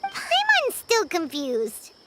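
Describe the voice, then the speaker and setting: A woman voicing a tiny, excitable, easily confused childlike fairy companion in a video game, squeaky and exclamatory. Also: takes it slowly, heavy background noise, exaggerated voice. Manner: exclamatory, refers to herself in third person. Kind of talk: video game character acting. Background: clear music.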